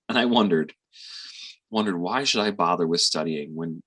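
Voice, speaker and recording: An actor playing a weary, tender middle-aged father and physician in a reflective, halting stage monologue. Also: low voice, fairly bright tone, husky. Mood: somber, reflective. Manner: reflective, halting with sighs and pauses.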